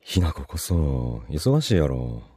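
A man acts out an evasive office-worker boyfriend in a radio drama, very low and husky. His Kansai-accented speech hesitates and stumbles apologetically, swinging widely in pitch. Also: sing-song, takes it slowly, mellow, dry recording, studio quality, full character voice. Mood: sheepish, tender. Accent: Kansai Japanese. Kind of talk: Japanese voice drama acting with inner monologue.

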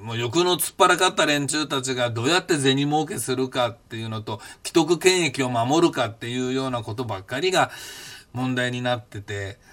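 A man's voice: medium pitch, sharp tone. Rambling, musing older man. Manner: rambling, musing aloud with many fillers and restarts. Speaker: podcast host recounting his past. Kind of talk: casual reflective monologue.

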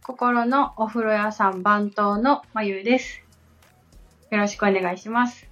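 A woman's voice: medium pitch, fairly even intonation, a little slow, fairly bright tone. Female podcast co-host, polite and conversational, simple phrasing. Slightly lo-fi sound over background music. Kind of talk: conversational podcast talk, answering questions.

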